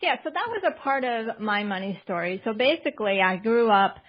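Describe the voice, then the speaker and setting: A woman in her forties from Colombia speaks as a guest in a casual interview. She is chatty and affirming and often fills pauses with "uh". The sound is slightly dull. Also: a little high, expressive. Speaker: podcast guest and author.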